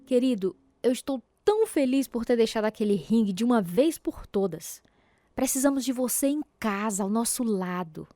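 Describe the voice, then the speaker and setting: An actress with a Brazilian accent playing a boxer's devoted, supportive wife and young mother in a radio drama, gentle and sincere as she speaks and narrates. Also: on the high side, expressive, moderate pace, no room sound, clean studio sound, natural voice. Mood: tender. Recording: guitar music under the voice.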